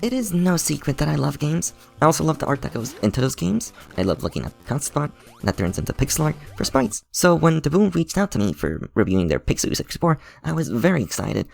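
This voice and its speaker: A man's voice: a little high, sing-song, quick pace, creaky, soft background music. A man hosting his own channel, giving a playful, enthusiastic scripted tutorial with a sponsor segment. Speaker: channel host.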